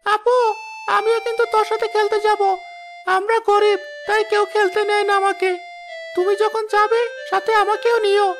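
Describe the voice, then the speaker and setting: A woman's voice: very high-pitched, flat delivery, normal speed. A woman voicing a hungry, plaintive little village boy in a children's cartoon. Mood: pleading. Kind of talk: children's moral-story cartoon dubbing. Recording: faint music behind the voice.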